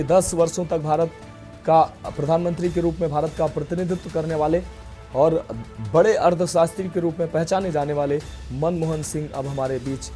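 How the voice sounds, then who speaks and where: A man anchors breaking news in a fairly high, soft-edged voice. He speaks partly off the cuff, with halting, repetitive phrasing. Faint music plays underneath.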